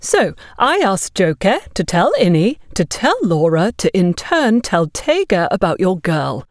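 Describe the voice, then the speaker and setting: A woman's voice: fairly low pitch, sing-song, a little slow, no room sound, clean studio sound. A woman voicing an overbearing, gossipy, smugly condescending woman in an audio story, talking down to others in an effusive way. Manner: bossy, effusive, talks down to others.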